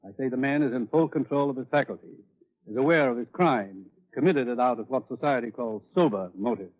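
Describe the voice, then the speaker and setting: An actor playing a calm, probing doctor with a restrained clinical manner in a 1950s radio thriller. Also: male voice, medium pitch, a little fast, close to an everyday voice. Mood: stern, clinical. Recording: muffled, narrow-band old radio recording.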